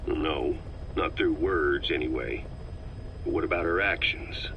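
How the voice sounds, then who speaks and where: An actor playing a shrewd, level-headed veteran intelligence officer in a video game, talking in a measured, analytical way. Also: male voice, a bass voice. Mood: firm, reasoning. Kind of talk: dramatic video game voice acting. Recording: muffled, slightly noisy cassette tape.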